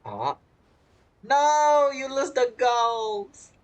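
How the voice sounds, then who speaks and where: Playful male streamer with animated pitch. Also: drawn-out words. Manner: playful, giggly, reactive. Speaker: game streamer. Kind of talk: casual live gameplay commentary. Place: indoors at a streaming setup.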